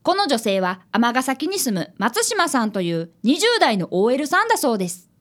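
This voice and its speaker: ラジオドラマで、丁寧な口調の真面目な少年探偵見習いを演じる女性。. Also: female voice, a little high, big pitch swings, normal speed, fairly bright tone. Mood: earnest narrating. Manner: polite, deferential speech. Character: polite, earnest young boy, apprentice detective. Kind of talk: radio drama acting and narration.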